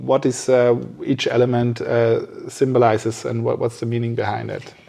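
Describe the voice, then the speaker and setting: A man hosts a casual podcast conversation in a mid-range voice. He talks haltingly, repeating small words while he searches for the phrase he wants. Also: medium pitch, little pitch movement, vocal fry, dark tone. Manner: halting, searching for words, frequent short listener responses. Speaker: podcast host, interviewer.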